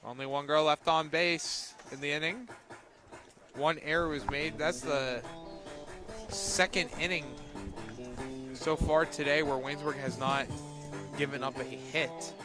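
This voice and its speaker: A man doing live radio play-by-play of a softball game in short, present-tense calls that lift on the plays. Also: fairly high pitch, steady tone, unhurried, long pauses, over music.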